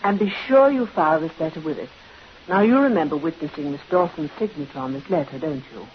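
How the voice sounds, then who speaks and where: A woman's voice: low-pitched, fast, lightly acted. A woman playing an anxious, proper nurse in vintage radio drama, formal and earnest. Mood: brisk. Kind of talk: 1950s radio drama acting. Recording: dull old radio recording with hiss.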